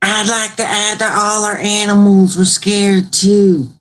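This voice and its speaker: A man's voice: sits high, level tone, measured pace, pitched above the performer's own voice, rough recording. A man voicing a timid but indignant farmer's wife in an exaggeratedly feminine, plaintive way for a mock radio play. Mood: frightened.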